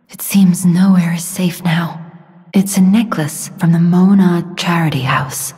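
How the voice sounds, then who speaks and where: Actress as gentle mystical young woman, sorrowful video game guide, soft airy voice, very slow solemn delivery, long reverb. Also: on the low side, natural voice. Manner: slow, solemn, measured, earnest. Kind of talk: dramatic video game voice acting. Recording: long reverb, music behind the voice.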